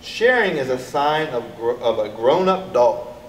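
A man's voice: medium pitch, big pitch swings, a little slow. A man voicing a firm but proud mother dog from a storybook, speaking in an instructing, parental way. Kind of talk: storybook character voice. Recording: echoey, distant mic, background noise.

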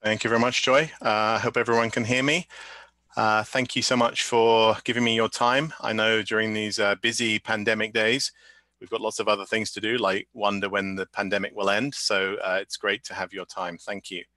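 A man's voice: on the low side, little pitch movement, normal speed, sharp tone, dry recording. A man presenting a webinar for language teachers over a video call, friendly and thinking aloud, with fillers and repeated words. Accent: non-Canadian English. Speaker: webinar speaker, teacher trainer.

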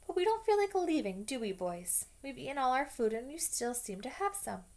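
A woman's voice: high-pitched, big pitch swings, fairly quick, a little mellow, voice pushed up. Sneering snobbish schoolboy voiced by a woman, drawling, taunting, storybook reading.

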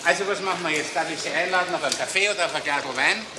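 An actor playing a genial, talkative middle-aged police inspector with a Viennese accent in a radio crime drama. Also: male voice, on the high side, rapid-fire, close to an everyday voice. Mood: chatty. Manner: chatty, easygoing, lively. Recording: hissy old radio recording.

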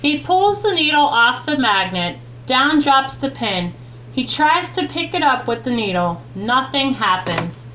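A young adult woman reads a children's book aloud with expressive, deliberate phrasing, recorded in a small room at home on a webcam mic. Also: sits high. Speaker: teacher reading a children's book. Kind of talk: read-aloud storytime narration. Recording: clearly noisy, humming home recording.

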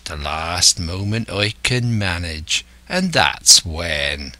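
A man's voice: deep voice, lively intonation, slow, bright, forceful tone, dry recording, voice pushed down, heavily acted. A man voicing a scheming, domineering older pirate ringleader who speaks emphatically and scornfully in a salty seafaring dialect.

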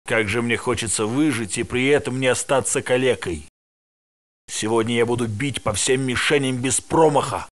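An actor voicing a tired male security guard in a research facility in a video game dub, with a gravelly, matter-of-fact delivery. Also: mid-range voice, moderate pace, lightly acted. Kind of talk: game voice lines, Russian dub.

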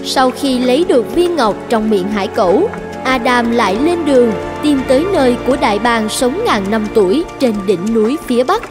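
A woman's voice: a little high, expressive, moderate pace, over music, close to an everyday voice. A woman narrating a scripted children's fairy tale.

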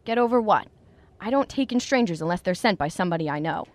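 A woman acts an embittered landlady in a radio play. Her voice is on the low side and quick, cold and suspicious, brushing people off. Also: expressive, no echo, lightly acted. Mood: cold suspicion. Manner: curt, cold, dismissive. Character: hard, suspicious, embittered mother running a boarding house. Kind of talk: radio drama acting.

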